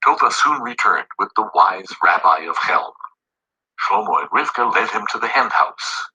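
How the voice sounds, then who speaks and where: A man narrating a children's story in an animated, scripted read-aloud style. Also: on the low side, moderate pace, slightly rough, highs cut off.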